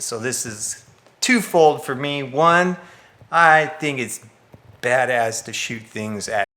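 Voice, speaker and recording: A man's voice: mid-range voice, bright, forceful tone. A man who makes videos, talking casually and explaining in vlog style. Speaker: filmmaker, video creator.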